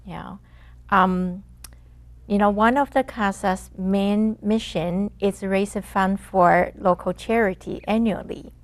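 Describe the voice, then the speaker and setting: A woman's voice: mid-range voice, steady tone, slow. A middle-aged woman from Taiwan, a former society president, speaking earnestly as an interview guest, with small grammar slips. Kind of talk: casual interview.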